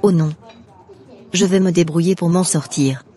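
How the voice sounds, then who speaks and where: A woman's voice: fairly low pitch, moderate pace, warm tone, lightly acted. A woman voicing a troubled, trusting young mother-to-be in a French cartoon. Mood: downcast. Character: troubled, trusting pregnant woman running a restaurant. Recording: music behind the voice.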